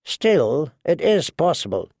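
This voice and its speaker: A man reads as a sober noblewoman in a fantasy audiobook. The speech is measured and formal, with some gravelly creak and no room sound. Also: on the high side, very animated, darkish tone, no room sound, clean studio sound, heavily acted. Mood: reasoning, dry. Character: composed, sober noblewoman, older sister. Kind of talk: audiobook character dialogue.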